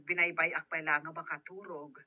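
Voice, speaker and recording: A woman's voice: low-pitched, level tone, brisk. A woman playing a sympathetic, concerned helper on the telephone in a radio drama. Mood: concerned, confiding. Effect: telephone filter. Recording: thin, dull telephone sound.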